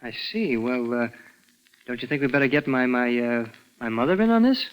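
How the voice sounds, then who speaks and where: A man's voice: medium pitch, normal speed, clear, resonant tone, natural voice, low-quality recording. A young man playing a nervous, evasive teenage boy who narrates his story in 1940s radio drama style, earnest and boyish with a hesitant delivery. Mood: guarded. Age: young adult. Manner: boyish, earnest, hesitant stammering under pressure. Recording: vintage 1940s radio recording with music.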